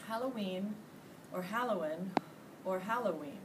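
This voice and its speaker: A woman's voice: medium pitch, unhurried. American woman reading aloud, distant and noisy. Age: middle-aged. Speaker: teacher reading aloud to pupils. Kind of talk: expressive children's book read-aloud. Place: classroom, indoors. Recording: distant mic, loud room noise.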